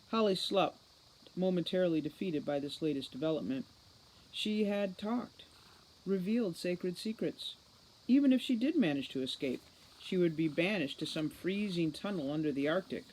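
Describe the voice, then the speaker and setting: A man's voice: high-pitched, a little mellow, a little hiss or hum, poor audio. A man reading a story aloud as scripted audiobook narration. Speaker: audiobook reader.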